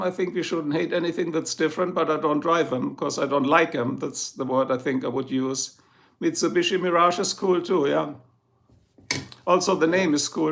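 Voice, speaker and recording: A man's voice: fairly high pitch, creaky, fairly bright tone. A middle-aged man with a German accent, chatting casually with viewers on his livestream and answering their comments. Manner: chatty, answers viewers one by one, chuckles often. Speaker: livestream host.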